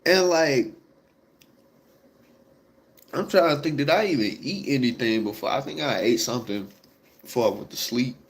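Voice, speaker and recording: A young man talks to his viewers in a loose, rambling way, piling up fillers and slang. His voice sits at a middle pitch and sounds stuffed up and congested. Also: normal speed, pauses often, a little hiss or hum. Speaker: vlogger. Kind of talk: casual chat to viewers.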